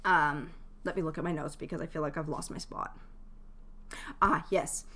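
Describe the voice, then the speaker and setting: A woman's voice: low-pitched, steady tone, moderate pace, a bit bright. A woman chatting casually and giving advice to viewers as a live game streamer, in a chatty, informal way.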